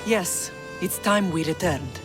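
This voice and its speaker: A woman's voice: fairly low pitch, slow, music in the background. Proud, stern Spartan mother. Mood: firm, maternal. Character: stern, proud middle-aged Spartan mother, fierce and protective. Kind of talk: video game cutscene acting.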